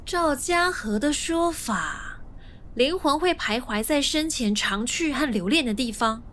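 Woman playing a high-voiced, sassy young woman. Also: expressive, unhurried, fairly bright tone, slight background noise. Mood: curious, gossipy. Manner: teasing, scolding. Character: sassy, impatient young woman, grudging helper. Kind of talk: modern suspense radio drama acting.

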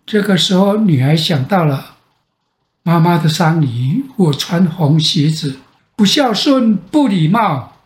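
An older man with a Taiwanese Mandarin accent tells a bedtime fairy tale as a grandfather, with dramatic pauses and repetition. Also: fairly high pitch, animated. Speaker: grandfather storyteller. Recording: roomy echo.

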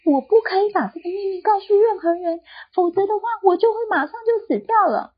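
A woman's voice: high voice, animated, moderate pace, dull audio, pitched above the performer's own voice. A woman doing a fretful young barber. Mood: fearful. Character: young male barber, anxious and meek.

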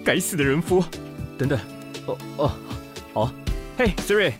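Theatrical, swooping Taiwanese magazine director. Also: male voice, on the high side, big pitch swings, unhurried, over music. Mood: exasperated. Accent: Taiwanese Mandarin. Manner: theatrical, bossy, haughty. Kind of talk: radio drama acting.

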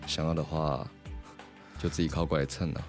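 A man's voice: rumbling low, steady tone, voice pushed down. A man with a Taiwanese Mandarin accent playing a domineering, taunting master in a radio drama. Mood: taunting, commanding. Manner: slow, commanding, mocking. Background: music.

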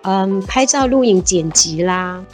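A woman in her fifties from Taiwan, a guest in a casual interview, cheerful and quick to laugh. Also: mid-range voice, animated, a little mellow, faint music behind. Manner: cheerful, laughs often, quick bursts of enthusiasm.

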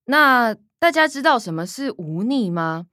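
An actress playing a perky young Taiwanese granddaughter who talks like a cheery video presenter, in a radio drama. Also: female voice, on the high side, big pitch swings, unhurried, sharp tone, no room sound, studio quality, heavily acted. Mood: presenting. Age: young adult.

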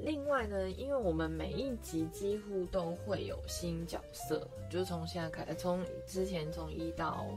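A young woman, co-hosting a relaxed chat, often chiming in to agree and invite listeners. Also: fairly low pitch, normal speed, few pauses. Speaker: radio drama co-host and voice actor. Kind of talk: casual chat. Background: music.

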